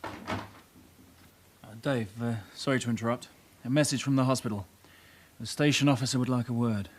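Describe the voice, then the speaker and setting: A man's voice: mid-range voice, steady tone, brisk, close to an everyday voice. An actor playing a male police detective in a radio drama, blunt and patronising in manner. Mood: hesitant, deferential.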